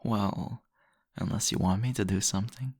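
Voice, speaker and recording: A man's voice: on the low side, normal speed, a little mellow, husky. A man playing a charming, teasing vampire host in an intimate, conversational roleplay style. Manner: flirtatious, suave, conversational. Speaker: audio roleplay voice actor. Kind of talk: intimate ASMR roleplay acting. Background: background music.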